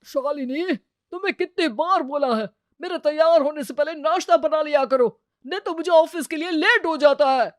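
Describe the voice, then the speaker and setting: A woman's voice: high voice, moderate pace, hardly any pauses, dry recording, studio quality, lightly acted. A woman voicing an easygoing young husband in a cartoon, coaxing and teasing.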